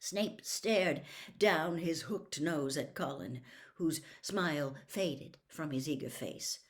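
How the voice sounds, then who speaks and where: A woman reading a storybook aloud as an expressive narrator. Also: deep voice, slow. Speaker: audiobook reader.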